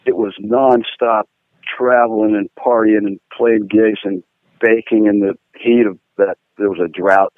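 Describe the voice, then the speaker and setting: A man from Pittsburgh, a guitar-playing guest, telling rambling, animated stories with lots of fillers. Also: on the low side, measured pace, dry recording. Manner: rambling, animated, fillers, laughs at own jokes. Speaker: guest musician, guitar player. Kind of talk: casual storytelling interview. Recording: tinny phone-line sound, dry.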